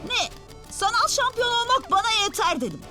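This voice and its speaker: A woman playing a brash, stubborn, excitable ten-year-old boy hero in a cartoon dub. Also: very high-pitched, a little fast, exaggerated voice. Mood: stubborn, bickering. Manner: excitable, cocky. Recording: music behind the voice.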